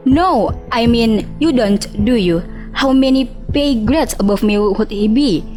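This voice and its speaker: An aggrieved young-ranking female employee acted by a woman gives testimony in a radio drama. The voice is fairly high, informal and hesitant, with some music underneath. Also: animated, a little slow, mellow. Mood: offended, defensive.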